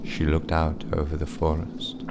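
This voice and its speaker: Extremely deep, slow male storyteller. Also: monotone, very noisy. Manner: measured, suspenseful. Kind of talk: dramatic bedtime story narration.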